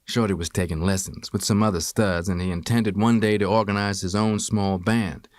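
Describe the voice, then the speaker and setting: An American man reading a first-person memoir as an audiobook narrator, with expressive, measured storytelling. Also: fairly low pitch, no room sound.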